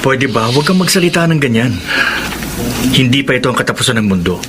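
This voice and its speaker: Actor as loyal, earnest friend, very noisy recording. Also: male voice, medium pitch, animated, fast talker, close to an everyday voice. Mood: firm, reassuring. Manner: earnest, firm, encouraging. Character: loyal supportive male friend, firm and reassuring. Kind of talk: Filipino radio drama acting.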